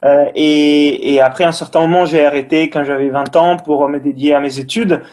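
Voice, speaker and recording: An Italian man in his thirties with a slightly high voice, speaking French as a second language. He talks casually and hesitantly, full of fillers, restarts and small grammar slips, as a guest explaining his path in an interview. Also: fairly even intonation, moderate pace, darkish tone. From Italy. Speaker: breathing and cold exposure instructor, guest.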